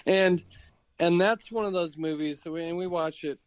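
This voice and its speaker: A man co-hosting a podcast, chatting casually and often pausing to search for his words. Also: high voice, clear, resonant tone. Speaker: podcast co-host. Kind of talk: casual chat.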